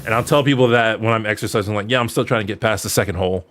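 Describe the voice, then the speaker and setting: A man's voice: lowish voice, racing, a bit bright. A man in his early thirties chatting casually as a livestreamer answering questions, in a rambling, jokey, self-deprecating way. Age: early 30s. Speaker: streamer answering viewer questions. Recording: noticeable background noise.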